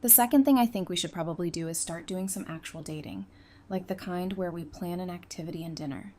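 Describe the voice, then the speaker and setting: A woman's voice: fairly low pitch, fairly quick, dark tone, slight background noise, lightly acted. An actress playing a sensible, affectionate, plain-spoken woman in an audio drama. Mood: caring, practical. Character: frank, teasing, sensible woman, affectionate with her sweetheart.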